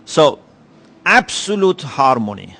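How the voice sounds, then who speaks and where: Man w/ giving a lecture, slightly high voice, slow and thoughtful, lots of "you know". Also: sing-song, vocal fry, sharp tone. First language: Persian. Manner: measured, reflective, with many pauses and "you know" fillers. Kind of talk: religious lecture. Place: lecture hall.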